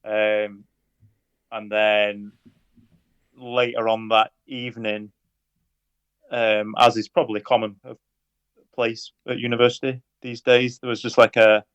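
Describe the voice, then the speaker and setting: A Lancashire man in his thirties with a lowish voice, talking in a halting, careful way full of ums and dry asides. The sound is dead dry, with a dull, lo-fi edge. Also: flat delivery, a bit bright, clear, resonant tone, no echo. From Lancashire, England. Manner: hesitant and measured, with frequent fillers and dry humour. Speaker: guest, police officer. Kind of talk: conversational podcast interview.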